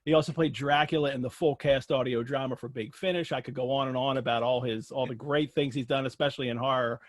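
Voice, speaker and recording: A man's voice: medium pitch, fairly even intonation, fast, no room sound, studio quality. An American man hosting a live online interview in an effusive, jokey, chatty way. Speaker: host of an online literary show. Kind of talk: live webinar interview and casual chat. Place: video call.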